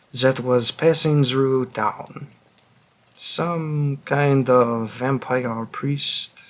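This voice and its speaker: A man voicing a centuries-old vampire who hunts his own kind, grim and deliberate, in a scripted roleplay monologue addressed to the listener. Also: medium pitch, fairly bright tone, full character voice. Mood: somber, reflective. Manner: slow, deliberate, pausing, darkly confiding.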